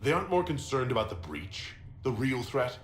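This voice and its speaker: An actor playing a wry, easygoing hero in a fantasy video game, speaking conversationally. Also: male voice, medium pitch, big pitch swings, moderate pace, close to an everyday voice. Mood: questioning, calm. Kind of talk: video game cutscene acting. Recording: roomy echo, noisy ambience.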